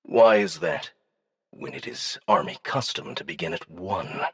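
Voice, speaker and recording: Man acting a creaky, husky, insinuating alien dignitary. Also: mid-range voice, animated, no room sound, studio quality, pitched above the performer's own voice, full character voice. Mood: coolly curious. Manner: languid, deliberate, insinuating. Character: cunning, probing male alien dignitary, coolly menacing.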